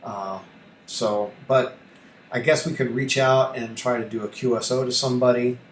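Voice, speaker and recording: A man thinking aloud through a casual screen tutorial as a hobby radio host, halting and muttering to himself as he works. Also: mid-range voice, steady tone. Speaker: amateur radio YouTuber, host. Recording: echoey room with faint background noise.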